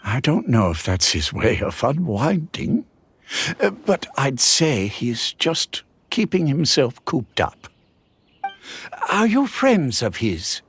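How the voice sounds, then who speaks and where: A man voicing a kindly, hospitable village head in a game, unhurried and welcoming. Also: medium pitch, big pitch swings, slightly rough, heavily acted. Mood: friendly. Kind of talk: game voice acting.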